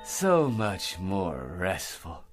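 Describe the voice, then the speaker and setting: An actor playing a boastful, eccentric dreamer with an American accent in a radio drama, rambling and self-important. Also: male voice, deep voice, wildly swooping pitch, drawn-out words, music in the background, full character voice. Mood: musing, self-satisfied. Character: boastful, talkative eccentric dreamer man with wild schemes.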